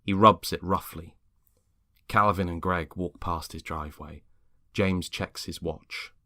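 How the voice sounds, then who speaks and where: A man's voice: low voice, fairly even intonation, a little slow, sharp tone, dry recording, studio quality. A man reading a scripted story aloud as a dramatic narrator. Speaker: story reader.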